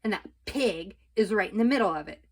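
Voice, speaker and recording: A woman's voice: mid-range voice, animated, a little fast. Woman voicing Eugenia, a sour, scornful sister. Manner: scornful, clipped, emphatic. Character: stern, disapproving, sour older sister, Eugenia.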